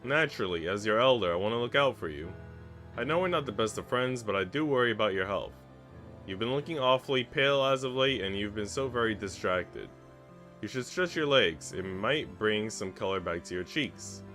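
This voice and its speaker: A man's voice: lowish voice, lively intonation, brisk, fairly bright tone. A man voicing a haughty woman, a senior housemaid who bullies with false sweetness in a theatrical, condescending way. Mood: falsely sweet. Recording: music behind the voice.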